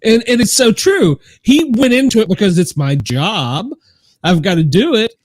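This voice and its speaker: Host: man, high lively voice, dry close sound, animated storyteller. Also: moderate pace, dry recording. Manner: animated storyteller, laughs while telling. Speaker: podcast host. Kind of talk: casual podcast conversation.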